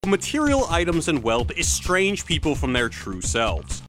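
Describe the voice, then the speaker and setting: A man hosting an English lesson, reading sentences slowly and deliberately for listeners to repeat. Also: fairly high pitch, wildly swooping pitch, background noise. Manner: clear, deliberate drill delivery. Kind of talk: slow repeated model reading. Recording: music underneath.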